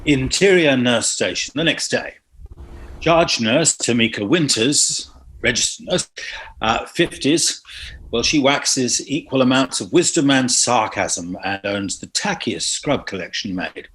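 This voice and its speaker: Male narrator, mid-pitched, for screenplay table read directions. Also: animated, a little slow, fairly bright tone, poor audio. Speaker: stage directions reader. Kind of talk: scripted narration.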